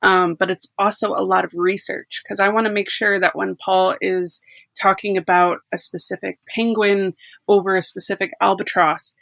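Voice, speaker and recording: A young woman talks casually about her sound design work, laughing easily between thoughts. Her voice carries a gravelly fry at the ends of phrases. The recording is dry and close, with no room around her. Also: mid-range voice, level tone, moderate pace, a bit bright, no room sound. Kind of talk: casual podcast chat.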